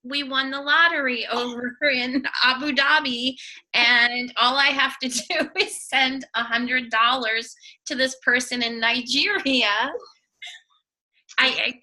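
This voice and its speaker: Very bright, high woman on a video call. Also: takes it slowly. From New York. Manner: lively, joking. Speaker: call member. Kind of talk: casual group video chat.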